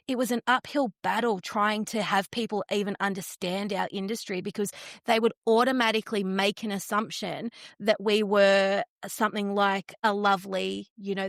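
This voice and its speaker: An Australian woman talks as an interview guest, explaining things earnestly and conversationally. Her pitch sits in the usual range for a woman. The sound is dry, with very little room in it. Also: fairly bright tone, no room sound. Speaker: podcast guest, flower industry entrepreneur.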